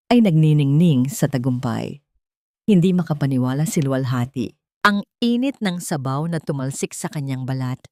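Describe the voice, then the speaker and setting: A woman's voice: low-pitched, a little mellow, no echo. A woman storyteller reading scripted, dramatic narration.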